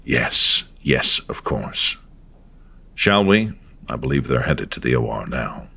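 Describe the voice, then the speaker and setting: An actor playing a stiff, businesslike administrator in an audio drama, formal and matter-of-fact. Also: male voice, a bass voice, sing-song, slow pace, vocal fry, sharp tone, slightly rough, heavily acted.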